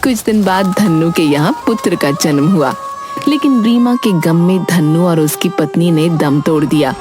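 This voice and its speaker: A woman's voice: on the low side, normal speed. A woman narrating a scripted fairy tale as a storyteller. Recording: music behind the voice. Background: background music.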